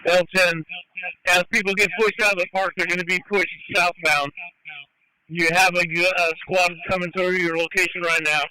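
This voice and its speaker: A man talking as a police officer over the radio, in brisk, clipped radio talk. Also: high-pitched, little pitch movement, sharp tone, smooth, dry recording, poor audio. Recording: dry scanner radio audio.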